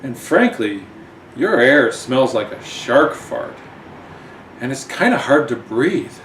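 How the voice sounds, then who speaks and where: A man's voice: medium pitch, big pitch swings, slow, a bit bright, heavily acted. Man acting haughty undersea queen, roomy sound. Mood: complaining. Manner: regal, formal, emphatic. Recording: roomy, distant mic, faint noise.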